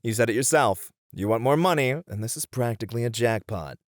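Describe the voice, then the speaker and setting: Man playing a confident, snarky warrior, animated and close. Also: medium pitch, a little fast, no room sound, close to an everyday voice. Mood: persuasive, teasing. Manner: reasoning, dryly teasing. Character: confident, snarky male fantasy warrior, teasing rival. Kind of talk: ASMR fantasy roleplay audio drama.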